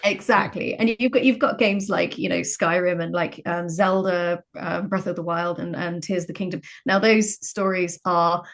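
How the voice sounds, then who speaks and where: A woman's voice: on the low side, steady tone, moderate pace, creaky, few pauses. A woman speaking as a guest in a panel discussion, stringing examples together in a loose, conversational way. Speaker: guest, game writer.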